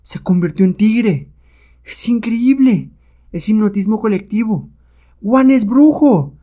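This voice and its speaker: A man voicing a frightened, awestruck companion of an adventure hero, anxious and breathy as he exclaims. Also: high voice, level tone, brisk, warm tone, voice pushed up, close to an everyday voice. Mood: amazed.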